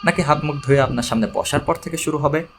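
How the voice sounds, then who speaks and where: A man's voice: fairly high pitch, quick pace, slight background noise, natural voice. A man voicing a wry, unflappable male investigator in an audiobook, dry and plain-spoken. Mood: wry, calm.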